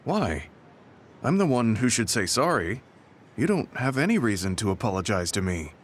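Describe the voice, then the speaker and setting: An actor playing a weary, remorseful veteran soldier in a video game, speaking in an earnest, apologetic and measured way. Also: male voice, fairly low pitch, big pitch swings. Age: older. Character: weary, remorseful veteran male soldier, humble and self-reproachful. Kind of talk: video game English voice acting. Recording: game music behind the voice. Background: game music.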